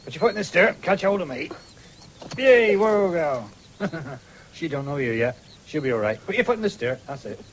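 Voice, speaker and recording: Defiant rustic horseman, lively pitch. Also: moderate pace. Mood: coaxing. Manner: rustic, blunt, mocking. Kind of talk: radio drama acting. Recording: hissy 1970s radio drama recording.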